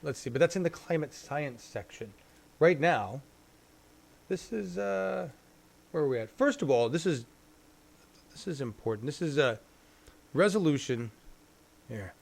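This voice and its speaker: A man hosting a live-stream talk show, rambling casually and thinking out loud as he goes. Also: on the high side, animated, unhurried, gravelly, pauses often. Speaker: show host.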